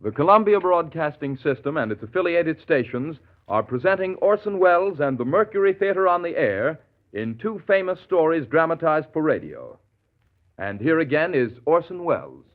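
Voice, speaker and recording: A man's voice: fairly high pitch, sing-song, moderate pace. A man working as a network announcer, formal and declarative in 1930s radio style. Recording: tinny, narrow 1930s radio recording. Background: prominent music.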